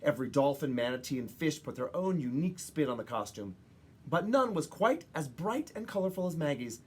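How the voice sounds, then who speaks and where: A woman reads a children's picture book aloud in an expressive way, with dramatic pauses and emphasis for young listeners. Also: sits low, breathy edge. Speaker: children's story reader.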